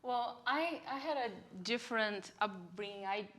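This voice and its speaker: A woman's voice: a little high, animated, measured pace, fairly bright tone. A woman in her forties with a Bulgarian accent, sharing a personal testimony in a plain-spoken, reflective way.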